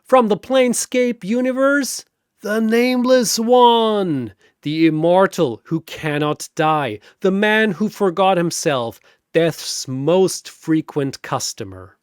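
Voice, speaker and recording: A man voicing a flamboyant, grandiose four-armed arena master of ceremonies in a fantasy audio show, announcing with drawn-out showman's emphasis. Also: high-pitched, lively intonation, clear, resonant tone, no echo, clean studio sound, voice pushed up. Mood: proud, hyped.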